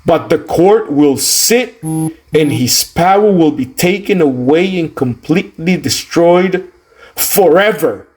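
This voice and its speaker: Male, slightly high pitch, unhurried pace, solemn declamatory scripture reading, drawn-out emphasis. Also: expressive, a little mellow. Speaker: student reader of scripture.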